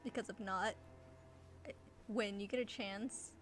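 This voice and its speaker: A woman chatting casually while streaming a game, giggly and halting as she restarts her thoughts. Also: medium pitch, fairly even intonation, soft background music. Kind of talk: casual chat while playing a video game. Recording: faint background noise.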